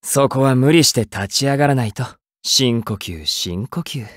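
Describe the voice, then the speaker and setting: Slow, taunting young assassin. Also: male voice, medium pitch, lively intonation, a bit bright, dry recording, studio quality. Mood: cool, mocking. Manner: detached, taunting, theatrical. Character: cool, mocking young male assassin in fighting game. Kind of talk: anime fighting game battle lines.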